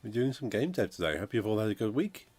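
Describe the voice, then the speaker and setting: A man, croaky from a cold, chatting casually on a game dev stream and reading out chat. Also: on the low side, sing-song, fast, darkish tone. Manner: rambling, chatty, reads out chat messages. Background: funky music playing.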